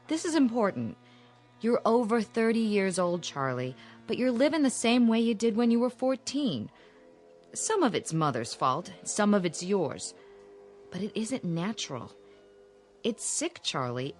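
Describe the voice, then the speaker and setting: An actress playing a worried, bossy sister in a radio drama, speaking frankly and insistently with strong emphasis. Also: female voice, medium pitch, very animated, measured pace, a little mellow, soft background music. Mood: blunt, worried. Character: blunt, pushy, worried sister of a shy man. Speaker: actor in a radio play.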